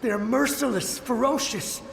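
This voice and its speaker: An actor playing a desperate, loyal samurai soldier in a video game, urgent and halting in delivery. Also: male voice, extremely high pitch, creaky, background noise. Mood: frantic warning. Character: desperate, terrified male samurai soldier, loyal, a dying memory. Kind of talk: dramatic video game voice acting. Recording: echoing voice under music. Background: music.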